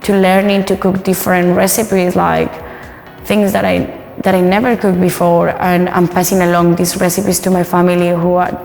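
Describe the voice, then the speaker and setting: A woman's voice: lowish voice, flat delivery, moderate pace, creaky, darkish tone, in a large hall, soft background music. A woman from Colombia, a native Spanish speaker with a Colombian accent, casually sharing personal stories and cooking as a guest. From Bogota, Colombia. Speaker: guest and home cook.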